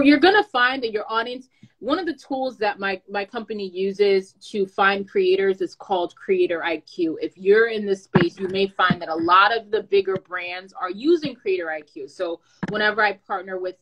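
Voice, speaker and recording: A woman who is a content creator and brand manager, giving advice in a lively, chatty way with playful asides. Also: mid-range voice, poor audio. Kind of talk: casual advice talk.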